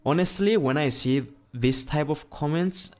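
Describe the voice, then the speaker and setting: A man's voice: on the high side, measured pace, darkish tone. A young man with a Greek accent, a fitness vlogger talking earnestly to camera in a large indoor gym, in simple wording with restarts. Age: young adult. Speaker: fitness youtuber. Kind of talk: casual vlog talk to camera.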